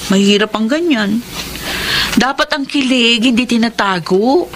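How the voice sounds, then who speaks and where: A woman acting a blunt, nosy, teasing young cousin in a Filipino radio drama, with a Filipino accent and an animated, emphatic delivery. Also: on the high side, unhurried, background noise, natural voice.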